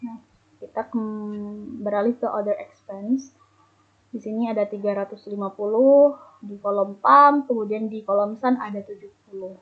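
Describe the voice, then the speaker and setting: A woman's voice: medium pitch, fairly even intonation, moderate pace, husky. Young woman on a phone-like recording. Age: young adult. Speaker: student presenter. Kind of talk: explanatory slide presentation. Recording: muffled, phone-like narrow band.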